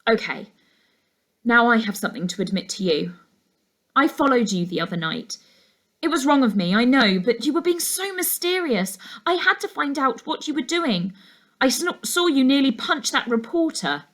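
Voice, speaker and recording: A curious, frank young girl in a children's novel, played by a woman reader. Her voice sits high and light, and she speaks earnestly. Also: sing-song, normal speed, a bit bright, heavily acted. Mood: confessing.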